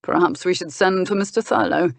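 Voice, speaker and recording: A woman narrator acts a patient but exasperated gentleman of a historical romance. The delivery is measured, reasonable and dry, recorded close with no room sound. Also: on the low side, creaky, dry recording. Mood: reasoning. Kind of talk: historical romance audiobook character.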